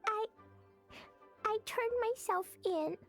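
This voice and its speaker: A woman voicing an earnest, excitable little girl in an anime-style game, childlike, halting and emotional. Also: extremely high pitch, creaky, faint music behind, heavily acted. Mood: distraught guilt.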